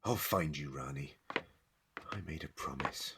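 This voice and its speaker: A man's voice: extremely low pitch, unhurried, heavy background noise. A man playing a heroic, emotional time-travelling alien adventurer in a fan audio drama, with dramatic, emphatic delivery. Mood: weary, gentle.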